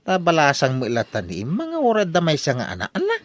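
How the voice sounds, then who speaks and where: A man's voice: on the high side, huge pitch swings, a little fast, dry recording. A man with an Ilocano accent playing a fickle, pleading, brazen young bridegroom in a comedy drama, wheedling and exaggerated. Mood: insistent. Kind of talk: comedy radio drama acting.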